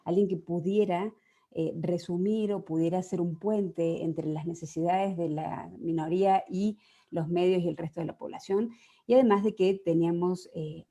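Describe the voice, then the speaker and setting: A woman from Argentina, a journalist speaking earnestly over a video call and pausing often on fillers. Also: on the low side, warm tone. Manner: earnest, hesitant with many fillers. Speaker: journalist guest. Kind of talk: personal account on a panel.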